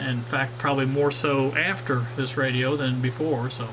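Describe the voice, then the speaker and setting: A man's voice: medium pitch, fairly even intonation, normal speed, background noise. A man hosting a casual workshop vlog on old radios, talking in a rambling way with many fillers and restarts. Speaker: radio hobbyist channel host.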